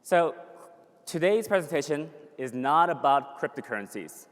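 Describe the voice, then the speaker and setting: Man pitching in a reverberant conference hall. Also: lively intonation, normal speed. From born in a refugee camp in Thailand, raised in the US. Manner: upbeat, pitching, with fillers and restarts. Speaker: conference speaker. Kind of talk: conference presentation.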